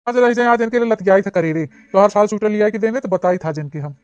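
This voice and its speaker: An indignant village husband as a cartoon performer voices him, high for the part and very quick, firing scolding questions in an Awadhi accent. The track is dry and a little dull. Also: a little mellow, no echo, natural voice. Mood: outraged. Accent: Awadhi, eastern Uttar Pradesh. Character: indignant village husband and elder brother, hot-tempered. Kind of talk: cartoon comedy dialogue.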